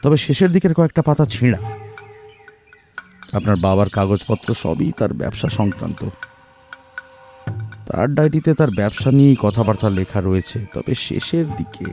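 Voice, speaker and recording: A man playing a composed, analytical detective in an audio mystery, explaining deliberately. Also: lowish voice, warm tone. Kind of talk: audio detective story acting.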